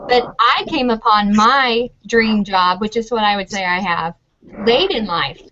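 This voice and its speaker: A woman's voice: mid-range voice, measured pace, pushed and bright. A middle-aged American woman, a university professor, answering questions in a friendly, chatty way with frequent ums. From United States. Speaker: university professor of teaching methods. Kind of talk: casual interview answers. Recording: dull lo-fi audio with clear noise.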